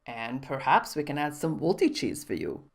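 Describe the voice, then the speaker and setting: A woman voicing a gentle middle-aged father, soft and coaxing, lowering her voice for him. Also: big pitch swings, moderate pace, gravelly, full character voice. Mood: playful.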